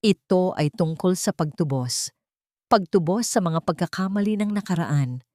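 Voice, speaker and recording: A woman storyteller voicing a brave young woman seeking justice, speaking firmly, clearly and earnestly in an audio drama. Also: on the low side, expressive, normal speed, a little mellow, dry recording, clean studio sound, close to an everyday voice. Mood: resolute.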